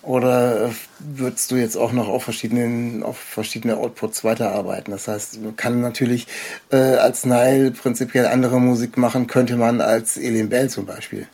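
A man's voice: medium pitch, steady tone, normal speed, mellow, husky. A man hosting a casual interview, feeling his way through long, meandering questions in a hesitant manner. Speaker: podcast host, interviewer.

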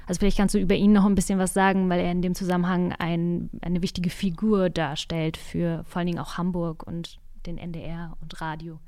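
A woman's voice: fairly low pitch, darkish tone, clear, resonant tone, no room sound. Woman interviewer, very dry close sound. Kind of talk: conversational interview.

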